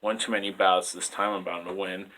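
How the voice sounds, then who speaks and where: A man's voice: low-pitched, flat delivery, brisk, sharp tone, rough recording. A man in his twenties reading his poetry aloud as a spoken-word performance. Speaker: poet. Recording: clear background noise.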